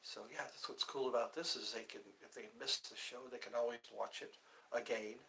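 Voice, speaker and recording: A man's voice: medium pitch, fairly even intonation, a little fast, gravelly, a little hiss or hum. A middle-aged man keeping his voice down as he chats to viewers on a phone livestream from an indoor room, meandering through stories with lots of fillers. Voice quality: hushed. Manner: talking quietly, keeping voice down. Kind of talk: rambling casual livestream chat. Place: indoor room with thin walls.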